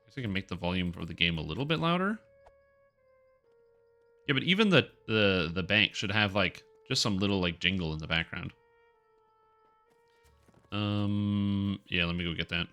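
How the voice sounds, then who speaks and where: A low-pitched man with a bright, forward voice that sounds stuffed up and nasal. He rambles casually to himself and his viewers while playing on a live stream. He is close on the mic, with faint music behind him. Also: animated, normal speed, long pauses. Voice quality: congested, nasal. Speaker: video game streamer. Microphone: close microphone.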